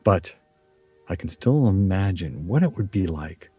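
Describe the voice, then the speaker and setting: A man's voice: sits low, very animated, measured pace, mellow, husky, dry recording, lo-fi sound, faint music behind, heavily acted. An actor playing an earnest, emphatic, wistful middle-aged man in a radio play.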